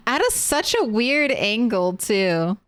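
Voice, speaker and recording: A woman's voice: a little high, no room sound, clean studio sound. A young adult woman streaming a game, chatting casually, thinking aloud and laughing often. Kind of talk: casual chat while gaming.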